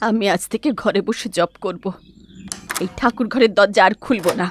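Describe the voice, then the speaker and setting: A woman's voice: medium pitch, normal speed, slight background noise, close to an everyday voice. An actress voicing an anxious older mother-in-law who frets, prays and questions with suspicion. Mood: fearful. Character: anxious old mother-in-law hiding a secret idol.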